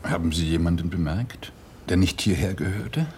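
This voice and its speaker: An actor playing a sober, considerate police detective in a radio drama, questioning with restraint and some hesitation. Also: male voice, low-pitched, moderate pace, a little mellow, a little hiss or hum, natural voice. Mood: probing.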